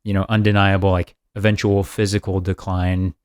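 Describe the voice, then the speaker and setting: A man's voice: low-pitched, flat delivery, moderate pace, darkish tone, dry recording, studio quality. A man in his mid-thirties, co-hosting a podcast in an upbeat, rambling chat. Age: 30s. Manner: rambling, upbeat, laughs often. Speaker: podcast co-host. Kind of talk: casual chat.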